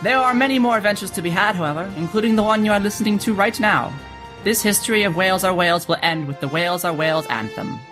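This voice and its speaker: A man's voice: high voice, fast talker, over music, rough recording. A man co-hosting a podcast, narrating a comic skit and chatting casually with dry humor. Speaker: podcast co-host.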